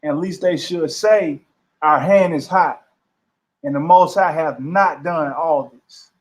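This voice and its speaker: A man on a discussion panel reading scripture aloud in a careful, measured way. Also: fairly high pitch.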